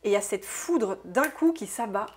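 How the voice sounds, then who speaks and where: A woman with a stuffy, congested nose from a cold, giving an intuitive oracle card reading to her viewers, musing aloud softly and often tagging her sentences with "hein". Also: medium pitch, animated, breathy edge. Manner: soft, unhurried, musing aloud with frequent "hein". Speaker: soul therapist and oracle card reader.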